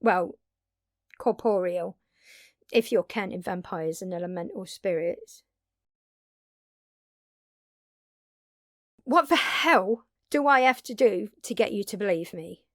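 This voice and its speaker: Girl doing a vampire lady for an audio drama, slow n halting, blunt but pleading, really dry intimate sound. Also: medium pitch, fairly bright tone, dry recording. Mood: exasperated, insistent. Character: earnest, blunt vampire woman, long-lived magic consultant. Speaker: voice actor.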